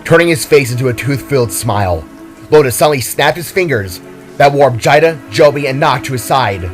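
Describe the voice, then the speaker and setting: A male storyteller with a medium-pitched voice narrates dramatic fantasy action from a script. Faint music sits behind the voice. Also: lively intonation, a little fast.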